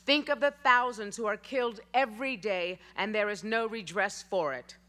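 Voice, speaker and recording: A woman's voice: fairly high pitch, pushed and bright. Rousing woman reading from a stage. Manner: rousing, declamatory. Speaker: stage reader. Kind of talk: dramatic reading of a historical speech. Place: theater stage at a lectern. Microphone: stand mic at lectern.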